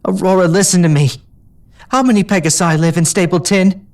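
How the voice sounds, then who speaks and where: A man's voice: high voice, steady tone, brisk, a little mellow, voice pushed up, close to an everyday voice. A man voicing Ginger, an older injured mare pony who mentored the heroine, speaking in a shaky, pleading voice. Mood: urgent. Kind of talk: storybook reading.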